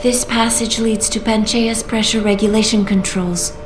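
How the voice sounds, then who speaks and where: A woman voicing a female artificial intelligence in a video game, speaking in a smooth, measured and coolly persuasive way. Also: fairly high pitch, fairly even intonation, natural voice. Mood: calm persuasion. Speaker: AI guiding the player through a choice. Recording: roomy game audio with clear music.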